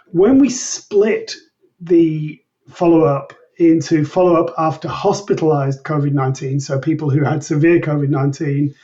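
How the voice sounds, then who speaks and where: A man explaining research findings as an interview guest in a measured, methodical manner. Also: fairly high pitch, dark tone. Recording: distant mic, dull lo-fi sound.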